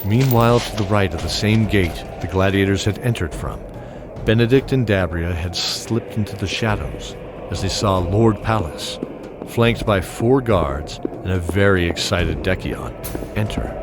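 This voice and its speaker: A man's voice: sits low, unhurried, background noise. A man delivering dramatic scripted narration for a fantasy audio drama.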